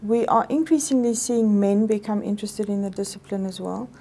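A middle-aged South African woman whose first language is Afrikaans, a medical professor giving thoughtful, measured answers in an indoor interview. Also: mid-range voice, mellow. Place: office interview set, indoors.

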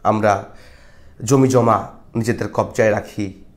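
A man's voice: lowish voice, steady tone, slow. Reflective man reviewing a film. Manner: reflective, moralizing, frequent hesitations. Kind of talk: casual film review monologue. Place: small indoor studio with patterned backdrop. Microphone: lapel mic.

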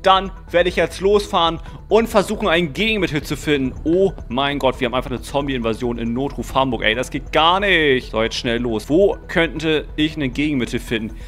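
A young man narrating a game role-play dramatically and excitedly, full of exclamations, close to the microphone. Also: fairly high pitch, moderate pace, few pauses. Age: young adult. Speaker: gaming video host and player. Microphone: close microphone, seen. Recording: music under the voice. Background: music.

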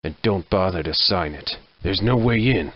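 Game hero, male actor as sardonic frontier lawman: raspy, lowish, terse and wry, on slightly dull audio. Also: lively intonation, moderate pace. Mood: grim, vengeful. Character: gruff, sardonic Wild West sheriff gunslinger hero. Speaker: voice actor for a game mod protagonist. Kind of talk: video game character one-liners.

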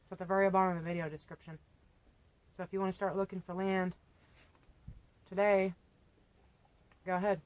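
A woman's voice: on the low side, level tone, fairly quick, pauses often, dry recording, low-quality recording. A woman in her thirties chatting in a blunt, self-mocking way, sitting outdoors on a sunny porch and filmed by a camera a few feet away. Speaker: homesteading vlogger. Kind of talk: casual chat. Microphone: camera on a tripod a few feet away.

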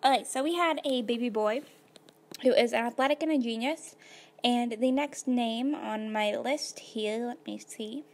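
A woman with a slightly hoarse voice, casually narrating her life-simulation gameplay at a computer, rambling with lots of fillers. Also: on the high side, unhurried. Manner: rambling, filler-heavy, laid-back. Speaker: gaming video host.

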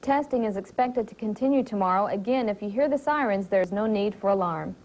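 A young woman with an American accent reads a scripted television newscast in a formal, measured and clearly articulated way. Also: medium pitch, darkish tone, poor audio. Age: young adult. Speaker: military news anchor. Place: TV studio. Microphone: lapel mic.

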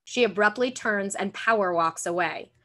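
A woman reads stage directions as scripted narration. Her voice is bright and medium in pitch, recorded on a computer video call in a room at home. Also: moderate pace.

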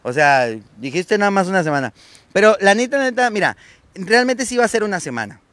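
A man's voice: high-pitched, a little fast, no room sound. A man in his thirties, born in Colombia and raised in Mexico, a clown talking casually and slangily outdoors into a held microphone. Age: 30s. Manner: relaxed, slangy and chatty. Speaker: clown and guest. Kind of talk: casual chat. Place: seaside street outdoors in sunshine. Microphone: interviewer's handheld microphone.

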